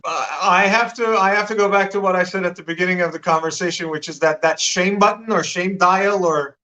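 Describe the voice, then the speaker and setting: Bright-voiced man with an American accent. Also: high voice, fairly even intonation, brisk, low-quality recording. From Turkey. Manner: talkative, frequent restarts and repeated words. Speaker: guest. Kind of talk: live-stream interview.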